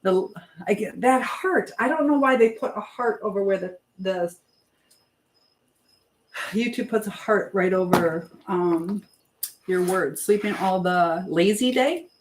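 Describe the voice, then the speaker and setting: A woman's voice: medium pitch, unhurried, slight background noise, poor audio. A woman hosting a casual live chat, rambling and trailing off as she answers viewers while busy with something else. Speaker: livestream host.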